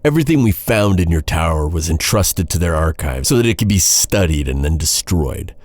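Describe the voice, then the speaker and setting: An actor playing an embittered, vengeful knight in a dark fantasy audio roleplay, brooding and intense, with halting dramatic delivery. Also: male voice, low-pitched, animated, dry recording. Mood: grave, restrained. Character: vengeful, embittered male knight interrogating his captive enemy.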